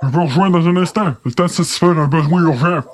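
An actor playing a crude, leering manhunter in an audio drama, with a coarse, jovial manner. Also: male voice, on the high side, fast talker, natural voice. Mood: crude amusement. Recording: noticeable background noise.

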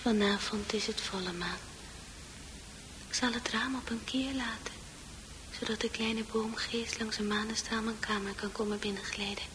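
An actress voicing the inner thoughts of a withdrawn, resentful female psychiatric patient, breathy and mostly whispered, in a radio drama. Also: fairly high pitch, fairly even intonation, moderate pace, fairly bright tone, slight background noise, close to an everyday voice, low-quality recording. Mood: secretive, dreamy. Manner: secretive, brooding.

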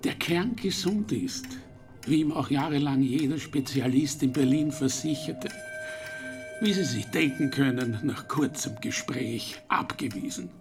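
A dry, disdainful doctor from a radio play. His voice is gravelly and very unhurried, with clipped judgements and a little music underneath. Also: medium pitch, expressive. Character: dry, dismissive male Viennese doctor, disdainful. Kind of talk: German radio drama acting.

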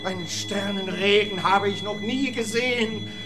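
Brief: gloomy castle guard, high voice, brooding, radio drama with music. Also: male voice, measured pace. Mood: foreboding. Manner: brooding, theatrical. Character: gloomy castle night watchman, pitying and suspicious. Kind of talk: German radio play acting.

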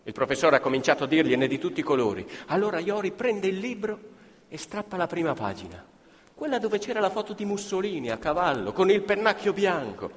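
Casting: male stage narrator, medium pitch, highly animated, colloquial and emphatic, live in a hall. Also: a little fast. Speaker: actor-narrator. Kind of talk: dramatic theatrical storytelling of oral history.

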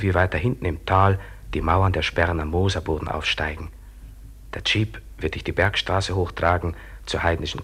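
An actor in a German radio drama playing an insistent companion who urges a trapped worker to endure, in short clipped phrases. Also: male voice, sits low, fairly bright tone, husky.

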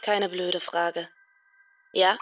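Young woman in a radio play, dry teasing sceptic, bit lower voice, sounds away from the mic. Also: little pitch movement, very slow, far from the mic, noisy background. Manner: terse, teasing, mixes English into German. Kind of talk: radio drama dialogue.

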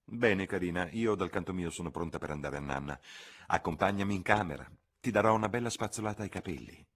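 A man's voice: deep voice, lively intonation, normal speed, fairly bright tone, pitched above the performer's own voice. A man voicing a fussy, reproving older spinster chaperone in an audiobook reading. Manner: mildly reproving, prim, insistent.

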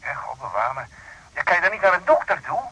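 An actor in a radio drama playing a busy, brisk but concerned friend, with a rough voice over the telephone. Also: male voice, a little high, sing-song, sharp tone, exaggerated voice, poor audio. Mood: concerned, hurried. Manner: brisk, impatient yet caring.

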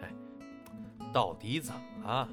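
A man playing a steady, teasing and protective young stage partner in an audio drama, talking casually. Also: mid-range voice, takes it slowly. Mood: casual, puzzled. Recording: guitar music behind the voice. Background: guitar music.

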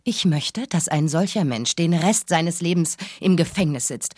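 An actress playing a blunt, hard-line talk radio host in a radio drama. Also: female voice, fairly low pitch, expressive, unhurried, a little mellow. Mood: indignant. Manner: blunt, provocative. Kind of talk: radio drama acting, call-in talk show monologue.

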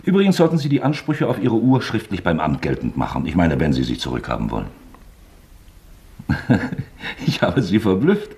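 Sly, creaky-voiced, deep investigator. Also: male voice, huge pitch swings, normal speed, pauses often, a little mellow, heavily acted. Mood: feigned geniality, probing. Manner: mock-forgetful, hesitant, probing politeness. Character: sly, falsely genial male investigator toying with suspect. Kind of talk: German radio play acting.

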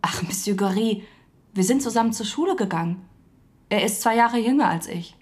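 A woman's voice: mid-range voice, expressive, normal speed, breathy edge. An actress playing an earnest, polite young woman in the wartime resistance, chatty and eager, in a naturalistic radio drama. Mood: polite, surprised.

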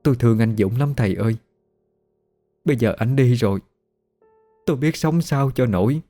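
A man's voice: medium pitch, normal speed, dark tone, soft background music, lightly acted. A man voicing an evasive young widow who lives on a river boat, with a Southern Vietnamese accent and a hesitant, plaintive manner, in an audio story. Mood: tearful.

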